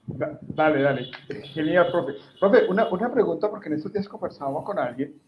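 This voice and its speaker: A man's voice: on the high side, lively intonation, brisk, low-quality recording. Friendly male interviewer, faint background hiss. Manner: friendly, informal, repeats words while asking. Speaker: interviewer host. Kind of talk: casual interview questions.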